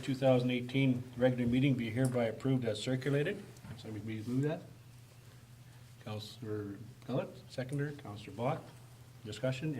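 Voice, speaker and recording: A man with a hoarse, raspy voice chairing a formal council meeting, calling motions briskly in a council chamber. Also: medium pitch, dark tone. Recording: noisy room recording.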